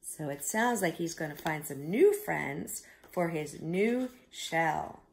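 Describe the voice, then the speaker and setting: A woman reading a picture book aloud to young children, expressive, with simple explanatory asides. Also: deep voice, slow, creaky. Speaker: children's storyteller.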